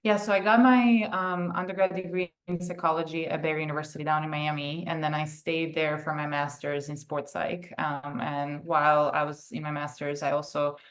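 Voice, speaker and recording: A woman's voice: sits low, flat delivery, moderate pace, hardly any pauses. A young woman from Serbia, answering conversationally as an interview guest on a video call. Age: young adult. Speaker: guest, therapist and sports psychology consultant.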